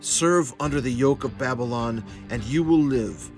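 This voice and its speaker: An actor playing a stern, impassioned prophet in a children's Bible audio drama, speaking in solemn, declamatory warnings. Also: male voice, medium pitch, moderate pace, over music, close to an everyday voice. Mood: urgent, solemn.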